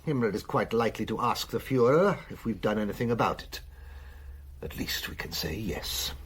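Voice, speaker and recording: Worldly German admiral, very raspy, expressive range, cynical, patronising, theatrical, wartime radio drama. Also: male voice, lowish voice, normal speed. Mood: wry, cynical.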